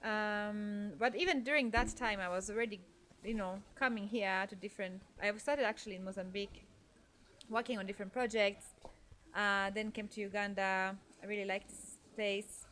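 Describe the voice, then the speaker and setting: A woman from Norway talks through her story as a podcast guest, pausing on fillers and restarting her sentences as she thinks. Her voice sits at a middle pitch. A faint ambient noise runs underneath. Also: fairly even intonation, fairly bright tone. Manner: thoughtful, with frequent fillers and restarts. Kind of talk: conversational interview storytelling.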